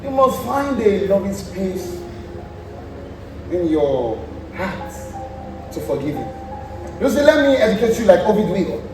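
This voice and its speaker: A man's voice: sits high, very animated, unhurried, long pauses, full character voice. A Nigerian man acting a boastful, teasing lord in a stage play, with exclamatory delivery. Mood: flattering, condescending. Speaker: student stage actor. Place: large indoor theatre stage. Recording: very echoey theatre, distant, clear noise.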